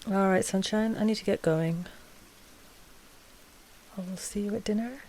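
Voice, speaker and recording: A woman playing an anxious, tender vampire noblewoman in an ASMR roleplay, speaking softly and hesitantly with sighs. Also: medium pitch, a little slow, natural voice. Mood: cozy, affectionate. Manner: soft, hesitant, sighing.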